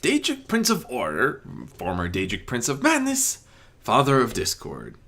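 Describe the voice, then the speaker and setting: Armored deity of order played by a man: gentle, measured, courteous, fatherly, with very expressive intonation, for a fantasy storybook. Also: mid-range voice, vocal fry, heavily acted. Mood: gentle, welcoming. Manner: soft-spoken, courteous, measured. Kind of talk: fan fiction audiobook character reading.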